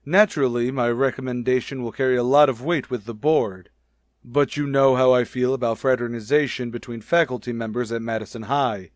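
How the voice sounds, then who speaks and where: An actor playing a stuffy, bossy middle-aged high school principal in a vintage radio comedy, with formal, blustering delivery. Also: male voice, steady tone, brisk, no echo, studio quality, natural voice. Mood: pompous, stern.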